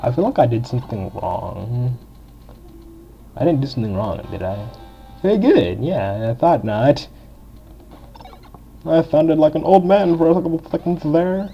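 A young man with a deep voice giving casual, rambling commentary while playing through a retro video game. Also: creaky, breathy edge. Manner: chatty, rambling, filler-heavy. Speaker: game walkthrough host. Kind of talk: casual let's-play commentary. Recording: dull lo-fi game recording. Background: faint game music.

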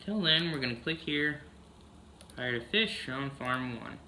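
A man's voice: mid-range voice, expressive, a little slow, bright, forceful tone, a little hiss or hum, low-quality recording. A man casually narrating a farming video game, humming "mm-hmm" between steps, recorded on a camera across a room. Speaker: gameplay narrator.

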